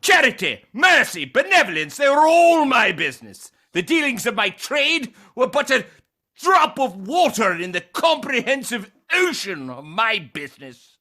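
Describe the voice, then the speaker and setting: A man playing the mournful, anguished ghost of a dead business partner in a radio play, speaking in solemn, drawn-out declamation. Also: very high voice, very animated, pushed and bright, heavily acted. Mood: anguished, thundering. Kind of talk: theatrical radio play acting.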